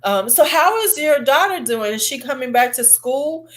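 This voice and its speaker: A woman's voice: sits high, animated, moderate pace. A middle-aged woman with a Midwestern accent, hosting a casual live chat and rambling with frequent laughs. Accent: Chicago, Midwestern. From American Midwest. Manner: chatty, rambling, laughs often. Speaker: livestream host.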